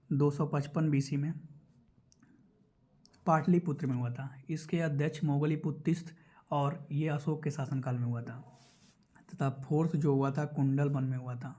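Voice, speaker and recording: Guy doing exam coaching, kinda high but soft mellow voice, repeats stuff to make it stick. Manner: repeats key points instructively, checks in with listeners. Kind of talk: explanatory lesson going through quiz questions.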